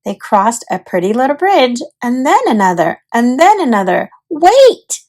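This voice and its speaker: Casting: female read-aloud storyteller, high register, lively melodic swings, deliberate delivery for children's books.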